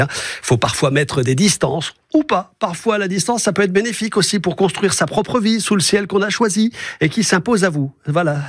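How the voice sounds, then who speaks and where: A man presenting a radio show, introducing a portrait in a relaxed, conversational way. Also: high voice, breathy edge, no echo, clean studio sound.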